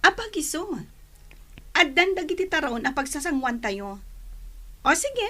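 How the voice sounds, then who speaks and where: A woman's voice: sits high, big pitch swings, unhurried, sharp tone, heavily acted. An actress playing a sweet-talking, gushing woman who courts her rival's friendship in an Ilocano radio drama. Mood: eager, coaxing.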